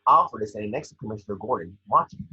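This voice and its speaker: Very raspy man reading scene descriptions. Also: fairly low pitch, lively intonation, quick pace, pushed and bright, highs cut off. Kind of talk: scripted narration at a table read.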